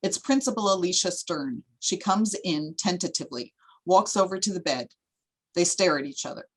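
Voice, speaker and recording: Woman, narrator, lower pitch, scripted stage directions, screenplay table read. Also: expressive, normal speed, breathy edge.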